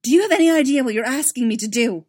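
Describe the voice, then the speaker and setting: A woman voicing a commanding female fleet admiral, urgent and sharp with a raised voice. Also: mid-range voice, fairly quick, natural voice. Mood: heated.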